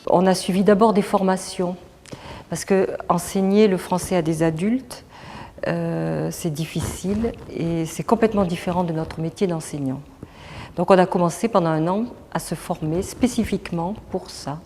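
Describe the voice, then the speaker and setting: Low, dark-voiced woman explaining. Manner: measured, explanatory, many hesitations. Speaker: teacher running a French course association. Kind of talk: explaining in an interview. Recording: echoey hall, faint background noise.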